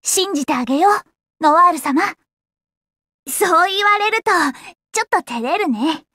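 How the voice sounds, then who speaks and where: A woman's voice: extremely high pitch, animated, normal speed, bright, forceful tone, dry recording, clean studio sound, exaggerated voice. A woman voicing a plucky, earnest, friendly young fox-eared girl adventurer in a Japanese anime game, with boyish, frank, upbeat phrasing. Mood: warm, encouraging. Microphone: close, dry studio recording.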